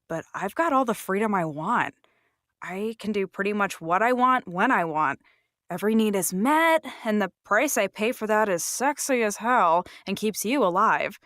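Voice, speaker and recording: A woman's voice: mid-range voice, fairly bright tone, no room sound, close to an everyday voice. Actress as a sassy, frank woman, dry close sound. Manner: casual, blunt, playful. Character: frank, sassy, contented human female attendant. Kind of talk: audio drama dialogue.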